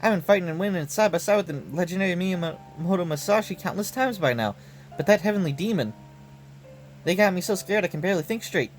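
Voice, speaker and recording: A man's voice: sits high, expressive, brisk, a little hiss or hum, pitched above the performer's own voice. A man voicing a brash young female painter and swordfighter in game dialogue, with blunt, slangy, emotional tough talk. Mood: shocked, despairing. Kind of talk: game dialogue read aloud. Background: background music.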